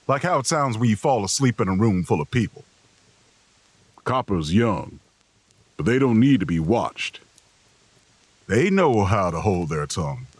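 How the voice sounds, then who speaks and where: An actor playing a weary, watchful drifter who narrates a horror audio drama in a folksy, subdued, husky voice. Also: male voice, on the low side, no room sound, heavily acted. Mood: bone-tired, reflective. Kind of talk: audio drama first-person narration and dialogue.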